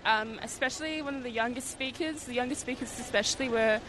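A woman's voice: on the high side, fast talker, background noise. A woman at an outdoor rally answering an interviewer hesitantly, with many fillers. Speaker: rally attendee.

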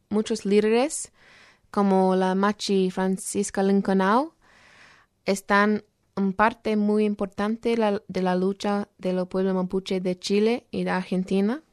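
Slow, halting woman with a clear voice. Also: mid-range voice, flat delivery, a little mellow, no room sound, clean studio sound. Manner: halting, searching for words; earnest, explanatory. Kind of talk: program talk on social issues.

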